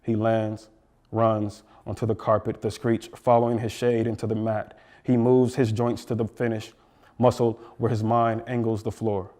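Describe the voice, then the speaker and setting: An American man from Flint, Michigan, a poet reading his work aloud in a deliberate, incantatory manner. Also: fairly low pitch, level tone, dark tone. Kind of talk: poetry reading.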